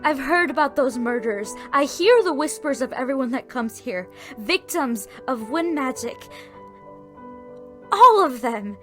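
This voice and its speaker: A woman playing a somber young village woman haunted by a massacre, in an earnest, halting audio drama delivery. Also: high voice, lively intonation, bright, forceful tone, heavily acted. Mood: tearful, anguished. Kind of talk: dramatic audio roleplay acting. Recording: music bed under the voice.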